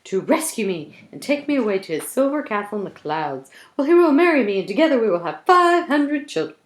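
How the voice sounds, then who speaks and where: Storyteller woman as princess: dreamy, lovestruck, melodramatic, animated swoops. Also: a little high, a little fast, reverberant, voice pushed up. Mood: yearning.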